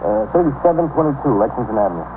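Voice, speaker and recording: A man's voice: medium pitch, lively intonation, racing, lightly acted. Actor as a dutiful patrolman, thin noisy radio. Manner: methodical, clipped, respectful. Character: dutiful, deferential uniformed patrolman reporting to superiors. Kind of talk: 1950s radio police drama acting. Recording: noisy, narrow 1950s radio broadcast.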